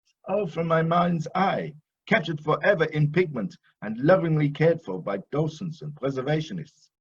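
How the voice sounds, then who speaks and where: An actor playing a proud, boastful Dutch painter in an audio play, grandiose and self-satisfied in manner. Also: male voice, fairly high pitch, moderate pace, slightly rough, muffled recording. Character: pompous, boastful old-master Dutch painter, touchy and proud.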